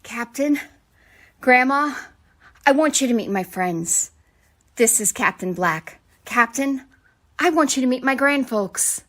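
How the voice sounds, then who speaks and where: A woman's voice: high voice, lively intonation, takes it slowly, fairly bright tone, full character voice. A woman playing an earnest, emotional young space lieutenant in a radio drama. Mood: happy, respectful.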